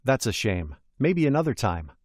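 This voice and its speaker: A man's voice: medium pitch, sing-song, moderate pace, a little mellow, slightly rough, no room sound, clean studio sound. A man reading a scripted listening-test dialogue with careful, polite delivery.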